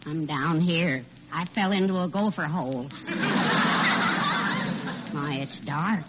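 A man's voice: a little high, slow. An actor playing a timid, hapless male sidekick in 1940s radio comedy style, meek and fretful. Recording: muffled, very noisy old radio recording.

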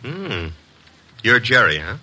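An actor playing a cocky, wisecracking detective's assistant in 1950s radio style, wry, slangy and needling. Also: male voice, medium pitch, very animated, slow, background noise. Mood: breezy, flirtatious.

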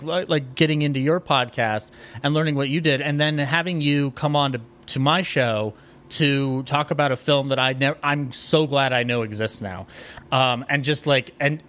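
A man chatting casually as a podcast guest, animated and jokey, with a rambling way of talking. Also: medium pitch. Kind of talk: casual chat.

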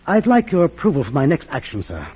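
An actor playing a deferential, formal government official in an old-time radio drama, with a very husky voice. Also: male voice, fairly high pitch, wildly swooping pitch, fast, heavily acted. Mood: formal, urgent. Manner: formal, businesslike. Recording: muffled vintage radio recording.

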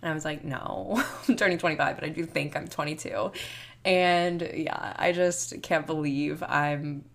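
Young woman podcaster, kinda low voice, chatty and rambly, super casual. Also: fairly even intonation, a little slow, gravelly. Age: 20s. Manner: chatty, rambling, youthful filler-heavy talk. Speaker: podcast host. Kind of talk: casual solo podcast chat.

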